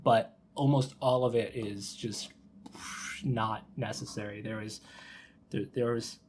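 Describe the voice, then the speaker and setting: A man's voice: lowish voice, fairly even intonation, unhurried, darkish tone, slight background noise. A man chatting informally as a podcast host, reacting readily to the others. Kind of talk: casual podcast chat.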